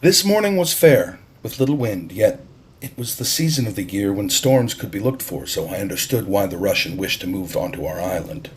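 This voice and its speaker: A man narrating an audiobook in a deliberate, expressive storytelling style. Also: on the low side, breathy edge.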